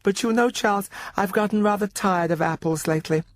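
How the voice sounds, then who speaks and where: A man voicing a prim, snappish old aunt in a radio story, with a thin falsetto and a genteel, fussy, precise manner. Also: a little fast, no room sound, lightly acted. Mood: chatty, fussy. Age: older.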